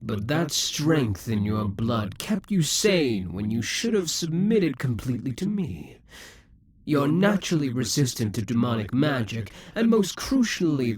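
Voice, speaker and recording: A man's voice: medium pitch, a little mellow, husky, no room sound, heavily acted. Brief: male actor as a scheming demon king for an audio roleplay, dry close sound, taunting and possessive. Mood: seductive menace. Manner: taunting, possessive, drops to whispers.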